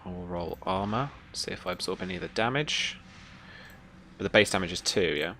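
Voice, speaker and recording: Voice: low voice, lively intonation, fairly quick, pushed and bright, slight background noise. A game master narrating a tabletop role-playing game in a conversational, descriptive way.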